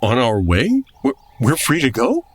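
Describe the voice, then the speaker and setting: An actor playing a nervous, good-natured radio sponsor sidekick in a comedy audio drama, earnest and polite. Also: male voice, medium pitch, wildly swooping pitch, a little slow, slightly rough, no room sound, full character voice. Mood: puzzled.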